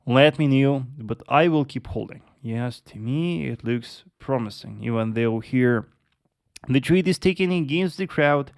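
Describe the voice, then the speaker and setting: Guy doing a live forex stream, gravelly low voice, lots of yes and uh, keeps saying traders. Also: a little slow. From Russia. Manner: repeats words, addresses viewers as traders, many yes and uh fillers. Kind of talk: live market analysis with chat Q&A.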